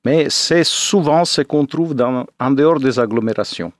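A man's voice: mid-range voice, moderate pace, no echo, studio quality. A 57-year-old man from Italy speaking as a pastor in a radio interview, often hesitating as he searches for words.

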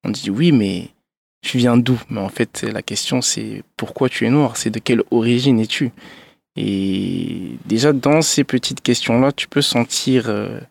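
A Frenchman of Gabonese parents, a guest on a radio interview, talking in a reflective, relaxed way with fillers. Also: medium pitch, a little slow, vocal fry. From France, Gabonese parents. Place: radio studio.

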